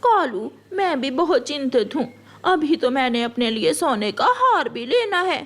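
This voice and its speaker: A woman's voice: high voice, sing-song, measured pace, a bit bright, a little hiss or hum, exaggerated voice. A woman playing a bossy, greedy female crow in a children's cartoon. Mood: worried.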